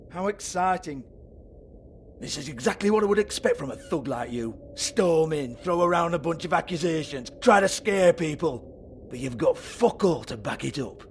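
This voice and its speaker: An actor playing a sleazy, foul-mouthed strip club owner with a British accent in a video game, mocking and volatile. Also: male voice, high-pitched, a little hiss or hum. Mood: scornful, sarcastic. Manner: sneering, taunting, swearing.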